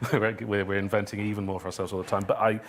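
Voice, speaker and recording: A man speaking conversationally at a live talk, answering questions in a halting way, circling back over his phrases. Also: lowish voice, a little hiss or hum. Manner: halting, circling and repeating phrases, chuckles at own remarks. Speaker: speaker, writer of audio drama. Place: indoor public event venue.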